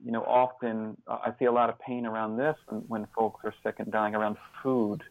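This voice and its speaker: A man's voice: lowish voice, flat delivery, a little fast, no echo, narrow, tinny audio. A man giving a reflective interview as a guest, speaking earnestly and often repeating words as he searches for phrasing. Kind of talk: reflective interview talk with personal examples.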